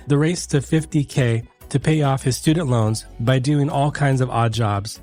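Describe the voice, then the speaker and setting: A man's voice: medium pitch, darkish tone. A man hosting a podcast, reading an upbeat introduction and then chatting with his guest, encouraging him with short replies. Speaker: podcast host. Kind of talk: scripted intro, then casual interview.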